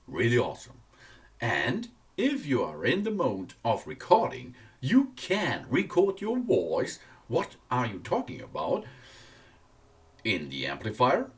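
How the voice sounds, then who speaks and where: A man who is not a native English speaker gives a casual product review in an enthusiastic but halting way, repeating words. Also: medium pitch. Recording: distant microphone.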